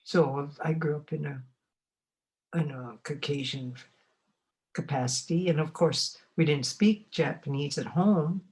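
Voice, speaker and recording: A woman's voice: low voice, darkish tone, rough recording. Elderly woman from Montana, reflective. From Montana, United States. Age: 80s. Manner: unhurried, reflective, often pausing to find words. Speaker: oral history interviewee. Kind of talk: oral history recollection.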